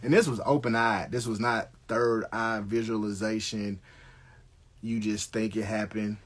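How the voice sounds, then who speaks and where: A man's voice: lowish voice, fairly even intonation, unhurried. A middle-aged American man telling stories casually on a live stream, slangy and conversational, swearing freely.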